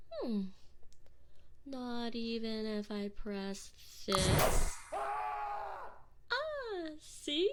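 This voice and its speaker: A woman's voice: high voice, exaggerated voice. A woman playing a sadistic, mock-polite alien enforcer in an ASMR roleplay, taunting sweetly and possessively into a close binaural microphone. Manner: slow, mock-sweet, taunting, often dropping into breathy whispers.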